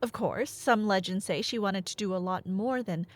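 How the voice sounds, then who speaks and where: A woman's voice: mid-range voice, moderate pace, natural voice. A woman playing a nerdy girlfriend who tells myths in a casual, rambling ASMR roleplay style, with wry, hesitant asides. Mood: wry, musing. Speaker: ASMR audio roleplay performer.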